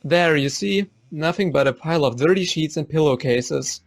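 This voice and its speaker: A man's voice: a little high, normal speed, creaky. An actor playing a casual, obliging male driver and messenger in an audio play, straightforward and informal. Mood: matter-of-fact. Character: casual, obliging American driver bearing urgent messages.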